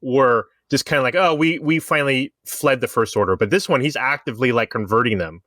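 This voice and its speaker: A man's voice: mid-range voice, brisk, a bit bright, no room sound, clean studio sound. A man casually discussing pop culture as a podcast panelist, reasoning things through at length in an explanatory way.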